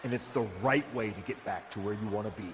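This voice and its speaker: A man's voice: lowish voice, fast, lightly acted. A male actor playing a firm but supportive wrestling general manager in a video game cutscene, earnest and persuasive. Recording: noisy venue with crowd behind.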